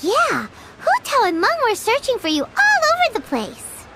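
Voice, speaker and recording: Squeaky, very high-pitched fairy sidekick. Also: female voice, huge pitch swings, moderate pace, heavy background noise, full character voice. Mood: incredulous. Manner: speaks of self in third person, exclaiming. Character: small childlike fairy companion, excitable, blunt and questioning. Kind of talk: video game voice acting. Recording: music behind the voice.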